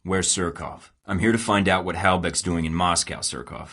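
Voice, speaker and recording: A cocky young American secret agent from a video game, with a very low voice that stays cool under pressure. He tosses off dry, wry quips between short, firm demands. The delivery is confident and teasing. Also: steady tone, normal speed, fairly bright tone. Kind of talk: game voice acting.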